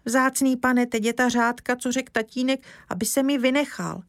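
Žena hrající malého kluka Káju, zvýšeným hláskem. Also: female voice, fairly high pitch, no room sound, clean studio sound, voice pushed up, lightly acted. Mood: eager. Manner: eager, childlike recitation. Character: lively little village boy, cheeky and chatty.

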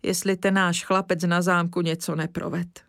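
A woman's voice: on the low side, level tone, vocal fry, no room sound, clean studio sound. Woman doing a flustered, worried village mother. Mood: anxious. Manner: anxious exclaiming.

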